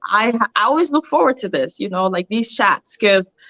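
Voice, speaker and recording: A woman's voice: medium pitch, expressive, moderate pace, a bit bright, no echo, poor audio. A woman in her thirties from Cameroon, a guest speaking cheerfully in a casual online chat and laughing often. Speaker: guest, digital business coach. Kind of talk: casual live-stream chat.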